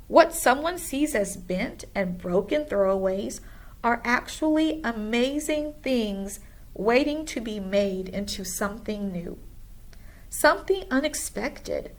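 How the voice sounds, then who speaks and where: A woman's voice: on the high side, heavily acted. A woman voicing a kind, earnest schoolteacher who encourages her pupils in a storybook.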